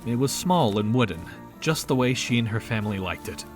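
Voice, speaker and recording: A man's voice: mid-range voice, lively intonation, brisk. A man narrating a scripted audio drama in storybook style. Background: background music.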